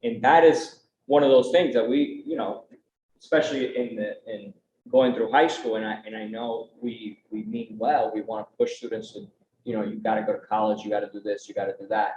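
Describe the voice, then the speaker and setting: A man's voice: fairly low pitch, fairly even intonation, brisk, a little mellow. A young adult man speaking earnestly on a conference panel, with a conversational style and frequent tag questions. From United States. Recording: roomy, dull, faint background noise.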